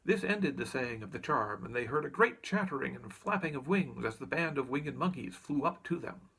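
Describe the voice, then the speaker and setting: A man's voice: medium pitch, lively intonation, normal speed, creaky, fairly bright tone, breathy edge, low-quality recording. A man reading a children's story aloud as narrator. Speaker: audiobook reader.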